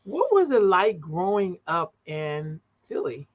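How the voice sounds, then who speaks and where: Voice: mid-range voice, sing-song, takes it slowly, dull audio, slight background noise. A host interviewing a guest, encouraging them with frequent affirmations. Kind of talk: conversational interview.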